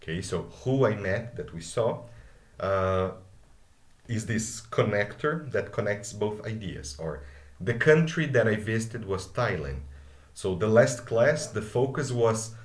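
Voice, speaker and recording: A man's voice: on the low side, lively intonation, a little slow. Brazilian man teaching English, roomy echo. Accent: Brazilian Portuguese. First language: Portuguese. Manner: patient, explaining, often checks in with "okay?". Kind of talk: live online grammar class. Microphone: video call.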